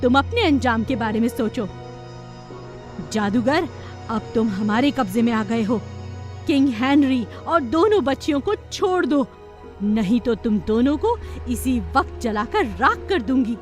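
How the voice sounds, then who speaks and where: A woman's voice: on the high side, animated, a little slow, faint music behind. A woman voicing a brave, commanding fairy queen and protective mother in a children's cartoon. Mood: stern, threatening. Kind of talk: children's cartoon story acting.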